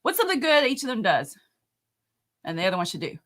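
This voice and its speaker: A woman's voice: on the high side, big pitch swings, quick pace, a bit bright. A woman co-hosting a live comics talk stream with her husband, bubbly and giggly in casual chat. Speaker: co-host of a comics talk show.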